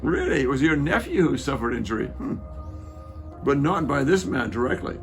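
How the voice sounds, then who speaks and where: Man playing gravelly, wry Roman soldier. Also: mid-range voice, lively intonation, normal speed, faint music behind, close to an everyday voice. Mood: amused. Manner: wry, musing. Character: wry intellectual Roman soldier, stubborn about details. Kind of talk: dramatic reading.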